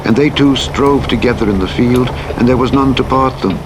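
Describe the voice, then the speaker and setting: A man voicing a grieving widow woman who pleads before a king, his voice lifted higher, with rain and engine noise behind. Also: moderate pace, close to an everyday voice. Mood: pleading.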